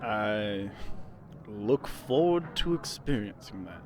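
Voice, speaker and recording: An actor playing an earnest young male elf in a fantasy audio drama, with a formal, measured manner. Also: fairly low pitch, lively intonation, darkish tone. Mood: wry.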